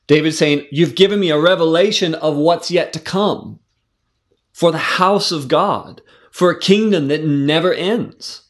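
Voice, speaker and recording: A man giving a devotional Bible teaching, earnest and conversational, with fillers and restarts, slowing down when he reads verses aloud. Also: on the high side. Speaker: preacher teaching scripture.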